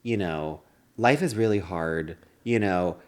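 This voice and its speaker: Lower-voiced, mock-dramatic male podcast co-host. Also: takes it slowly, darkish tone. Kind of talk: casual comedic podcast chat.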